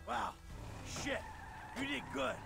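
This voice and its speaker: An actor playing a sarcastic, hot-tempered middle-aged American criminal in a video game, profane and explosive. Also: male voice, extremely high pitch, slow, creaky, over music, exaggerated voice. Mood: calmer, weary.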